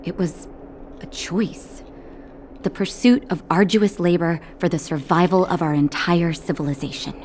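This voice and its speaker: An actress voicing a calm, caring woman doctor in a video game, speaking in a gentle, reassuring way. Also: lowish voice, unhurried, slight background noise. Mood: gentle, serious. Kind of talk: scripted game dialogue. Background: music.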